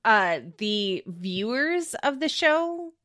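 A woman's voice: a little high, big pitch swings, takes it slowly, a bit bright. A woman bantering casually and playfully as a game show panelist.

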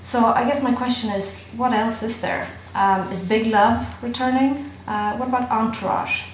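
A woman's voice: a little high, steady tone, moderate pace. Swedish woman, accent mixed with Irish and London, rambling caller, roomy recording with faint hiss. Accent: Swedish with an Irish and London mix. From Sweden. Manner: chatty, self-deprecating, rambling. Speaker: caller leaving a voice message. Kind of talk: recorded listener message.

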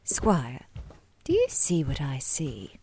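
A low-voiced, sly lady spy. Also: huge pitch swings, slow, warm tone, slight background noise, heavily acted. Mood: suspicious. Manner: arch, teasing. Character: sly, self-assured female British spy. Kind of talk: radio comedy-mystery acting.

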